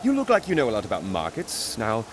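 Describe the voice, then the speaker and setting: A man voicing a roguish, smooth-talking thief who is sly and flirtatious. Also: lowish voice, huge pitch swings, quick pace. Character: roguish charming male thief and scoundrel. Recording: noisy background.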